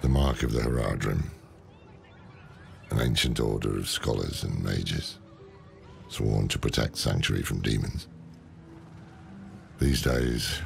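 Weary old demon-hunting scholar, wry and grave, extremely low and heavily creaky, game drama. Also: male voice, a little mellow, slight background noise, full character voice. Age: older. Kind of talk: dramatic video game dialogue and narration.

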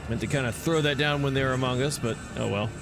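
A man's voice: mid-range voice, fast talker, very noisy. A man giving laid-back, casual gameplay commentary, chatting his way through the action and swearing now and then. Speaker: gameplay video host. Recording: game music behind the voice.

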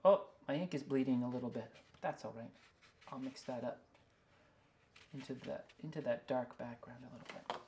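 Musing man, casual and unhurried demonstrator. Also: mid-range voice, dark tone, a little hiss or hum. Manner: musing, talks to himself while working. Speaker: illustrator and picture book author. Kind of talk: casual show-and-tell and drawing demonstration. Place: indoors in a small studio with books and records.

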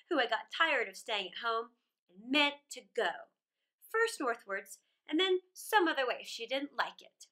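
A middle-aged woman reading a fairy tale aloud to children, expressively and theatrically, with deliberate phrasing. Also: high voice, pushed and bright. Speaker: storyteller. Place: small indoor room.

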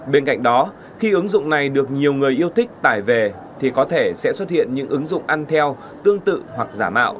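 A man's voice: medium pitch, animated, a little fast, few pauses, slight background noise. A man narrating a news report from a script. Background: faint music.

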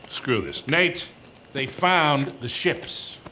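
Bright, gruff older rogue. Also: male voice, mid-range voice, lively intonation, extremely slow, a little hiss or hum, heavily acted. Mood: wry, eager. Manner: folksy, grumbling. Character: gruff, roguish older male mentor and fortune hunter. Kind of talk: cinematic video game acting.

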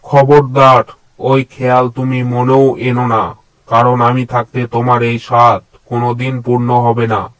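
A man's voice: medium pitch, monotone, a little slow, fairly bright tone, natural voice, poor audio. A man voicing a loyal, protective dog with an earnest, firm manner in a children's cartoon story. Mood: stern warning. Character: loyal, honest, protective male guard dog.

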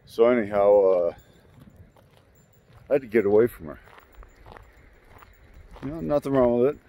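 A man's voice: medium pitch, lively intonation, normal speed, phone-quality sound. A man in his sixties chatting casually into a handheld phone camera at a mountain campsite, folksy and rambling. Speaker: vlogger. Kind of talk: casual vlog narration. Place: outdoors at a mountain campsite by a creek. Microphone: phone camera at arm's length. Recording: faint outdoor noise of a stream. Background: running creek water.

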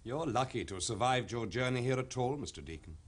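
An actor with an English accent playing a sullen, sardonic young grandson in a radio drama. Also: male voice, medium pitch, lively intonation, fast, natural voice. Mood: pointed. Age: young adult. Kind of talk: 1970s radio drama acting.

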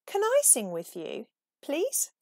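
Woman voicing an eager little boy songbird, high-pitched. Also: huge pitch swings, slow, mellow, no echo, studio quality, exaggerated voice. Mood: eager, admiring. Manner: eager, wide-eyed questioning. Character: small eager young male songbird, sweet and hopeful.

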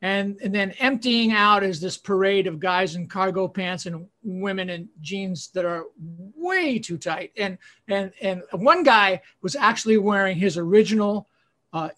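A man in his sixties doing a rambling, self-deprecating stand-up routine over a webcam video call, often halting with fillers. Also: high voice, a bit bright. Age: 60s. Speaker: stand-up comedian.

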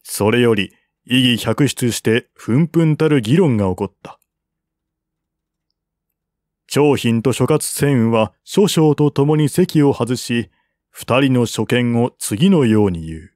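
A man narrating a historical novel in a measured, formal reading style. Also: mid-range voice, animated, a little mellow, no room sound, clean studio sound. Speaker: audiobook narrator.

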